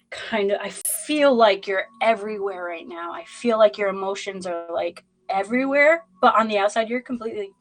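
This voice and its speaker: A woman's voice: mid-range voice, rough recording. A woman hosting an informal livestreamed tarot reading, talking straight at the listener in a blunt, emphatic and dramatic way. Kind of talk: informal live zodiac card reading.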